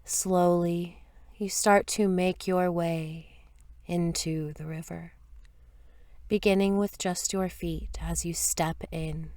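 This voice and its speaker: A woman leading a guided meditation in a soft, gentle, soothing voice, with unhurried phrases and long pauses, at times breathy. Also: fairly low pitch, steady tone, dry recording. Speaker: meditation teacher.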